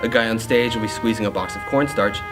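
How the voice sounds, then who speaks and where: Man, crew member, brisk, informative, fast, music underneath. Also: on the low side. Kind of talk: explanatory interview.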